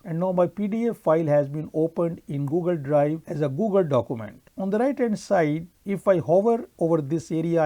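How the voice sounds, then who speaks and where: Mellow-voiced man narrating a software tutorial. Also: a little high. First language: Urdu. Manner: steady step-by-step explaining. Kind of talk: screen-recorded tutorial narration.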